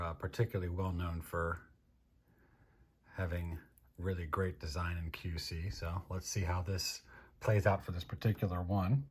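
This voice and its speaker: Deep, husky, slow-talking American man. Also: a little mellow. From Maryland, United States. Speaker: host of a watch review show. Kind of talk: casual product review voice-over.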